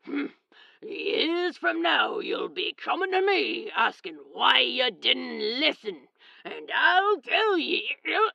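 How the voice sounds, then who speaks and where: A woman voicing a gruff, suspicious, superstitious old man with a gravelly voice in a storybook reading. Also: high-pitched, sing-song, slow pace, pushed and bright, no echo, dull audio, voice pushed up, full character voice. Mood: suspicious, lecturing. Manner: brusque, suspicious. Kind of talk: fan fiction audiobook character voice.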